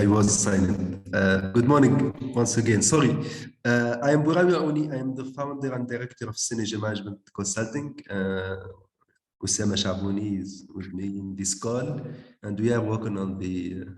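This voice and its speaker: A man's voice: on the low side, fairly even intonation, normal speed, a little mellow. A middle-aged man introducing himself politely as a director in an online meeting. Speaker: consultancy director. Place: indoor room on a video call. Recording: echoey room over video call.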